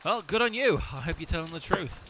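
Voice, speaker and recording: An actor playing a bossy, sarcastic amateur sleuth in a comic audio drama. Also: male voice, fairly high pitch, expressive, a little fast, a crowd in the background, low-quality recording. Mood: probing, dry.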